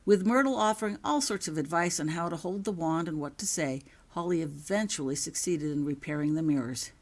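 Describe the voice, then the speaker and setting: Low-pitched woman narrating a story. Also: brisk. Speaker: author reading own fan fiction.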